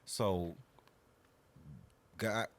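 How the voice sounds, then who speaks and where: Low-pitched man in his thirties, chatting earnestly. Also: fairly even intonation, drawn-out words. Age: 30s. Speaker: podcast guest, barber. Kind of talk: casual podcast conversation.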